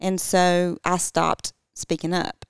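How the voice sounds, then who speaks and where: A middle-aged woman co-hosting a podcast with her husband, soft-spoken and affirming. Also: fairly low pitch, level tone, slow pace, clear, resonant tone, dry recording, clean studio sound. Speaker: podcast co-host. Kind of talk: casual conversation with spouse.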